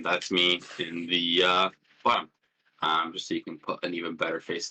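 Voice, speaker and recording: Low-pitched young man presenting, bright voice. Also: low-quality recording. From born in Jordan, lived in Palestine as a child. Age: 20s. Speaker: workshop presenter, student and threat hunter. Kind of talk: informal slide presentation in an online workshop. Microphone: online call with push-to-talk.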